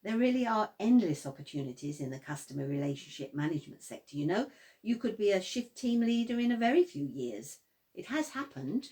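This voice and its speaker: A woman's voice: medium pitch, very animated, a little fast, warm tone, close to an everyday voice. Woman playing lilting job interviewer. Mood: brisk, polite. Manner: politely probing, corporate. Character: polite, probing female careers interviewer, slightly awkward southerner. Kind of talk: radio drama acting.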